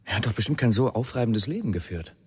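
An actor playing an anxious, courteous family friend, earnest in delivery, in a radio drama. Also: male voice, mid-range voice, expressive, quick pace, husky. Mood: subdued, polite. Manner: polite, earnest.

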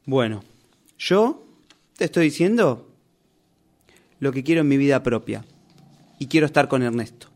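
A man's voice: mid-range voice, animated, measured pace, pauses often, darkish tone. An actor with a Rioplatense Argentine accent playing a defiant, indignant character from a novel who argues with his author, in a radio play rehearsal. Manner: argumentative, reproachful.

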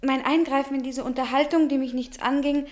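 A woman's voice: sits high, steady tone, a little fast, lightly acted. An actress playing a young woman visitor in a German radio play, earnest and guarded. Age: young adult.

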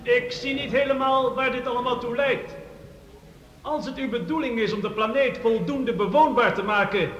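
A man's voice: extremely high pitch, fairly bright tone, dull audio. An actor playing a sceptical, practical-minded male questioner, objecting formally in a science fiction radio play. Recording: echoey reverberant hall.

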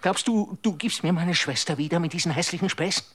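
An actor playing a grieving, frightened young man who whispers and then breaks into anguished shouts in a radio drama. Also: sits high, fairly quick. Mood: bitter.

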